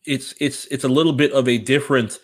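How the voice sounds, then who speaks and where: A man hosting a sports discussion, speaking in a measured, wordy way with rather formal phrasing. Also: mid-range voice, fairly even intonation. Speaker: sports podcast host.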